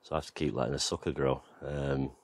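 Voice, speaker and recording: A man with a very deep, low voice talks his way around his allotment and garden. His delivery is relaxed and rambling, with plenty of ums and you-knows. He is outdoors, filming on a handheld camera. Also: fast, no room sound. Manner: relaxed, rambling, lots of fillers. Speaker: gardening vlogger. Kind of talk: casual walk-around garden tour.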